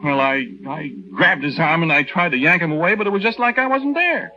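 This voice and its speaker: A man's voice: on the high side, lively intonation, a little fast, slight background noise, close to an everyday voice. An actor playing an aggrieved young soldier husband who tells his story in a folksy, hesitant, conversational way in a 1940s radio drama. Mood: flustered. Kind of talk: 1940s radio drama first-person narration. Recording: dull old 1940s radio recording.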